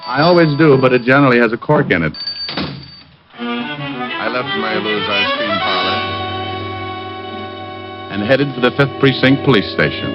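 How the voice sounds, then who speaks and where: An American actor playing a cocky, wry private detective in an old radio drama. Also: male voice, mid-range voice, normal speed, pauses often, loud music behind the voice, close to an everyday voice. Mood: friendly, wry, then narrating. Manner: wry, bantering, deadpan teasing. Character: wisecracking, cocky male private detective, former cop. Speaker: lead actor and narrator. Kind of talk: 1940s-50s radio detective drama acting. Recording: dull vintage radio recording.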